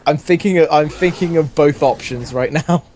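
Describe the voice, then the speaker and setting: Guy with a slightly high voice reading silly stories out loud, jokey, keeps going off on riffs. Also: moderate pace, a little hiss or hum. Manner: jokey, often breaks off from reading to riff. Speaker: host reading fan fiction aloud while gaming. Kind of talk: casual comic read-aloud with commentary.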